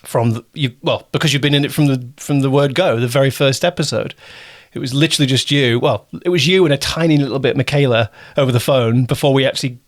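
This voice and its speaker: A man's voice: medium pitch, quick pace, few pauses. A man hosting a casual interview as a producer, talking informally and often rephrasing himself as he goes.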